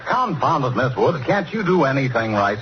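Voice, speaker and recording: An actor playing a grouchy, impatient boss in a 1930s radio commercial. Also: male voice, mid-range voice, big pitch swings, normal speed, natural voice. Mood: irritated. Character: grouchy, impatient male boss scolding his secretary. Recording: noisy, dull 1930s radio recording.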